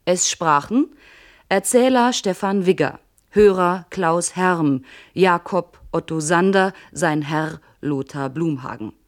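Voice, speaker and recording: A woman reads as a formal radio announcer. Her voice is a touch low, and she delivers the scripted credits at a slow, measured pace.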